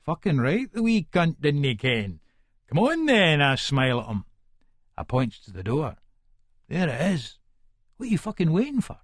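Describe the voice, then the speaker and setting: A man's voice: on the high side, very animated, moderate pace, long pauses, no echo, studio quality. An actor with an Edinburgh Scottish accent playing a violent, volatile hard man who narrates an audiobook in the first person, sweary and aggressive. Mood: menacing. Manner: aggressive, menacing, sweary, colloquial.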